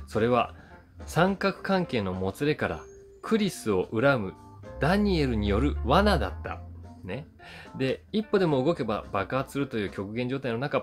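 A man in his forties chatting casually to camera as he reviews a film, conversational and emphatic. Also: medium pitch. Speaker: YouTube host reviewing films, voice actor. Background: background guitar music.

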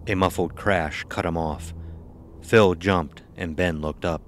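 A man's voice: low-pitched, steady tone, slow pace, slight background noise. A man reading a scripted horror story as its narrator. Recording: music behind the voice. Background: music.

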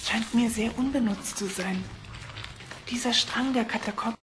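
An actress playing a curious, alert adventurer in a German audio drama. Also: female voice, fairly high pitch, normal speed, background noise, close to an everyday voice.

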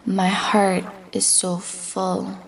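A woman's voice: mid-range voice, monotone, a little hiss or hum. A woman leading a guided meditation with affirmations, speaking in a soothing way that at times drops toward a whisper. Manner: slow, soothing, breathy near-whisper at times.

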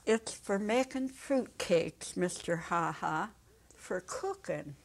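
A courteous, timid old lady in a storybook reading, acted by an elderly woman. She speaks carefully and hesitantly, at an unhurried pace. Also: fairly low pitch, animated, creaky, full character voice.

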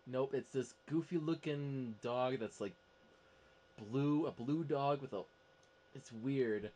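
Male podcast panelist, average pitch, informal conversational delivery. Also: takes it slowly, dark tone. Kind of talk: casual chat.

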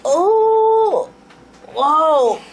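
A young woman, a chiropractic patient, giving brief answers and expressive groans and exclamations as she is stretched. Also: extremely high pitch, drawn-out words, a little hiss or hum. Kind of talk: brief reactions during treatment. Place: small indoor treatment room, lying on table. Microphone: clip-on mic on chest.

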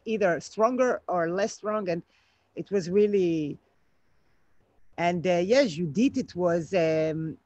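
A woman's voice: on the low side, dry recording. A woman director, speaking hesitantly and thoughtfully over a video call from outdoors at night. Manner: hesitant, thoughtful, many fillers. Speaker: film director. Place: outdoors at night, home garden. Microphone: laptop webcam video call. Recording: dull lo-fi video call audio.

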